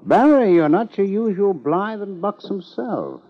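An actor playing a sardonic, teasing police lieutenant with an American accent, in a 1950s radio drama. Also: male voice, high voice, animated, unhurried. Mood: sardonic griping. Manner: dry, teasing sarcasm. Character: sardonic, needling male police homicide lieutenant. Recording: dull 1950s radio recording.

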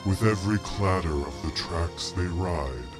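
A man's voice: low voice, unhurried, music in the background. A man playing an ominous storyteller narrating a Halloween horror tale in theatrical, foreboding rhyme. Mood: ominous, welcoming. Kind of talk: rhymed spooky audio drama narration.

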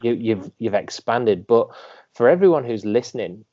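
A man's voice: mid-range voice, expressive, normal speed, dark tone, highs cut off. A man hosting a podcast, introducing his guest with enthusiasm and casual fillers. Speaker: podcast host. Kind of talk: casual interview.

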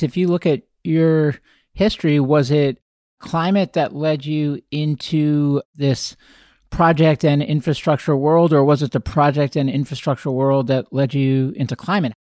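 A man's voice: a little high, a little mellow, no echo, clean studio sound. A man from Massachusetts hosting an interview, asking casual, curious questions. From Massachusetts, USA. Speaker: interviewer, podcast host. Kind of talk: conversational interview.

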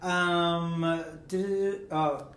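A chatty man with a slightly high voice, talking fondly and laughing often as he co-hosts a casual review show. He sits back from the mic in a room with a lot of echo. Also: fairly even intonation, extremely slow, a bit bright, far from the mic. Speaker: co-host. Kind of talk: casual chat reviewing song contest entries.

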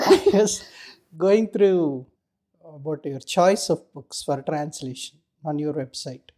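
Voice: mid-range voice, mellow. An interview host who lists places steadily and then asks the guest a question.

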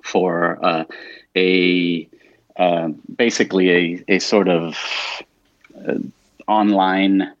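A man's voice: deep voice, level tone, takes it slowly, dry recording. A middle-aged man from Latin America speaking as a podcast guest, telling his story in a casual, informal, rambling way. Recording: dull, muffled band-limited audio.

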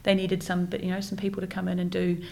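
A woman in her forties with a New Zealand accent, talking reflectively as an interview guest. Her voice sits a little low and has a gravelly edge. She often pauses on fillers and restarts her sentences. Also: monotone, dark tone. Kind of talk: casual interview conversation.

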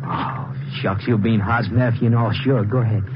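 An actor playing a bashful young rookie deputy in a western radio drama, folksy and hesitant. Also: male voice, fairly low pitch, moderate pace, natural voice. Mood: bashful, friendly. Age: young adult. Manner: gee-whiz, hesitant, folksy. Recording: noisy muffled 1950s radio recording.

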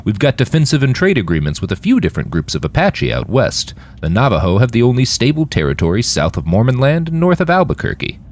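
A man's voice: mid-range voice, wildly swooping pitch, fast, few pauses, darkish tone, a little hiss or hum. A man voicing a stern, proud driver from a roving city, explaining things firmly in an audiobook story.

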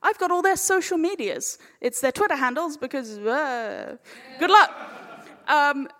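A woman's voice: sits high, animated, measured pace, a bit bright. A woman chairing a live panel in a playful, chatty, informal way. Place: large indoor hall at a live panel event. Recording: echoey hall, live event.

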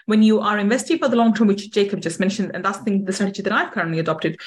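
A woman's voice: medium pitch, steady tone, rapid-fire. A woman hosting an interview podcast in an effusive, emphatic way. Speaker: podcast host.

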